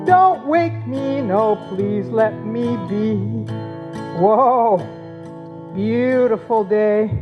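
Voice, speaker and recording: A woman playing a cheerful, dreamy, kind-hearted apple planter in a puppet show, with wondering, drawn-out exclamations. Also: fairly high pitch, dull audio, music in the background. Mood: dreamy, happy. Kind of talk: puppet show acting with sung passages.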